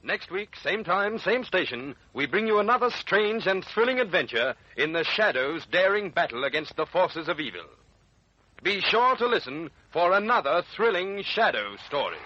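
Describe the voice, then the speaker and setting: Animated, high-pitched male radio announcer. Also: normal speed. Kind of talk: dramatic 1940s radio announcing. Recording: dull old radio recording.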